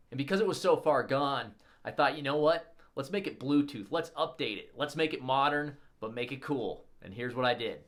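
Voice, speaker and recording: A man's voice: medium pitch, fairly bright tone. A man presenting a restoration project as host of a show-and-tell video, speaking in an upbeat, emphatic and enthusiastic way.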